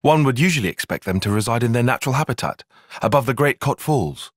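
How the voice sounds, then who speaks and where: A man voices a young military strategist for a video game cutscene. He is guarded and bookish, choosing exact, formal words with dry sarcasm. His pitch moves in a lively way, the voice is slightly husky, and the recording is close and dry. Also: fast, dry recording, natural voice. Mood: analytical, measured. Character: brainy, guarded young male soldier-tactician, prickly and analytical.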